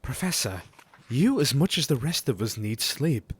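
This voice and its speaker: An actor playing a dependable young gentleman who speaks reassuringly. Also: medium pitch, big pitch swings, normal speed, slightly rough. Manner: reassuring, urgent in crisis.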